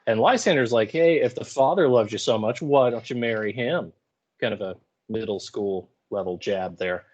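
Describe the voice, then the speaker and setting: A man's voice: on the low side, normal speed, darkish tone, muffled recording. A man teaching in a casual lecture style, with informal, wry and colloquial paraphrasing. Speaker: high school English teacher.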